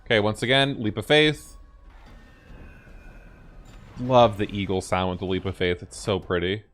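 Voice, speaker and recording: A man's voice: fairly low pitch, moderate pace, fairly bright tone. A man giving casual game reaction commentary, thinking aloud and talking back to the characters. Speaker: gaming video host reacting to cutscenes.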